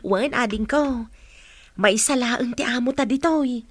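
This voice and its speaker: A woman's voice: on the high side, a little slow, no room sound. A woman playing a respectful, chatty senior household maid in a radio drama. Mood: confiding. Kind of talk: Ilocano radio drama acting.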